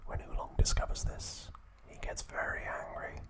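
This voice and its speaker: A man whispering slowly and deliberately in short, hushed phrases, narrating as an ASMR host close to the microphone. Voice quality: breathy, unvoiced whisper.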